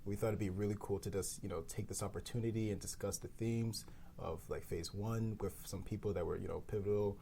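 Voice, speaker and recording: A man co-hosting a casual podcast round-table, relaxed and full of fillers like "you know". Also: lowish voice, a little fast, vocal fry, slight background noise. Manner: relaxed, filler-heavy, laughs often. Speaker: podcast co-host.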